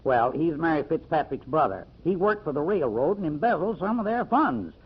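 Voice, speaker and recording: An actor playing a shrewd, talkative country store owner, folksy and self-satisfied, in an old-time radio mystery. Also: male voice, fairly high pitch, very animated, normal speed, husky, exaggerated voice. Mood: insistent. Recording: muffled, dull old radio recording.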